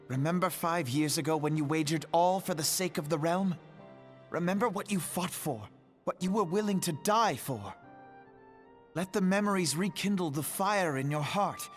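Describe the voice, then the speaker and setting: Earnest young male idealist, fantasy game , male actor: fairly high voice, formal, rousing, soft music under. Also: moderate pace, lightly acted. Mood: grave, rousing. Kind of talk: fantasy video game acting.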